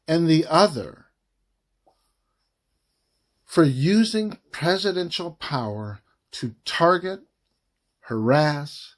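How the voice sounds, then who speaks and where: Slow, deliberate man reading a formal report. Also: mid-range voice. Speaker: reader of a government report. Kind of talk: reading a formal document aloud.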